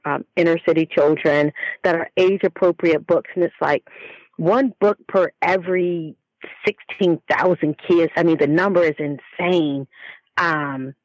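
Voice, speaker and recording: A woman's voice: sits low, no room sound, lo-fi sound. A woman speaking as an author guest in a conversational interview, reflective and talkative as she explains herself.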